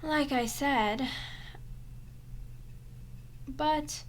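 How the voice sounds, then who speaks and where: Slow-talking sardonic vampire woman, acted by a woman. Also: sits high, slight background noise. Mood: wounded, defiant. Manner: teasing sarcasm, often dropping to breathy murmurs. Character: starving captured vampire woman, sardonic, flirtatious, proud, menacing. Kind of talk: ASMR roleplay acting.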